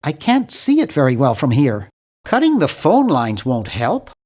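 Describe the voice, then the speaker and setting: A man's voice: medium pitch, huge pitch swings, a little slow, darkish tone. A man voicing a nerdy, timid young adventure-game hero, bookish and polite, with a nasal voice and an awkward, earnest, fussy manner. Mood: awkward, friendly. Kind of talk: video game character voice lines.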